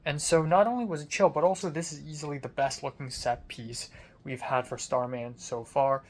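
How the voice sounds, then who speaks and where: A man's voice: on the high side, fairly even intonation, normal speed. A young adult man talking in a casual, rambling personal diary style, informal and conversational, swearing mildly. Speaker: film student vlogger.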